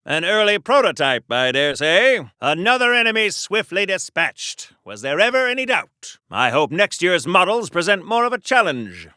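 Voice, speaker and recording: A golden age American superhero for a video game, grandiose and eager for battle. The voice sits high for a man and swings widely in pitch, declaiming florid lines in 1940s radio serial fashion. It is recorded close and dry. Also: sing-song, normal speed, few pauses, sharp tone, smooth, no echo, heavily acted. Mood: taunting, triumphant. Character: pompous, gung-ho golden age American comic-book superhero.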